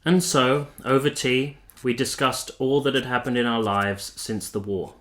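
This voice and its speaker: A man's voice: medium pitch, steady tone, a little slow, a bit bright, lightly acted. A man playing a courteous, smitten army captain from the 1870s in a radio drama, speaking politely and formally. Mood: smitten, reflective. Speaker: actor and narrator in a radio play. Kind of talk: radio drama acting, partly first-person narration.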